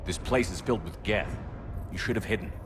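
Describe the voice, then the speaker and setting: An actor playing a steady military commander in a video game, speaking with terse authority. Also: male voice, on the low side, brisk, noisy background, close to an everyday voice. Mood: firm. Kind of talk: video game cutscene acting.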